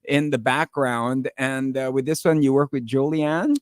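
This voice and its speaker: A man's voice: medium pitch, steady tone, a little slow, no room sound. A man hosting a radio show from a studio, interviewing a guest in a casual, upbeat, chatty way.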